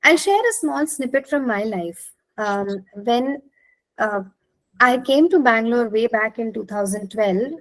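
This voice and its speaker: A woman's voice: fairly high pitch, expressive, measured pace, low-quality recording. An Indian woman from Maharashtra, a native Marathi speaker, giving a conversational, encouraging online talk and inviting participants to respond. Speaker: speaker leading an interactive talk, clinical psychologist. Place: online video call.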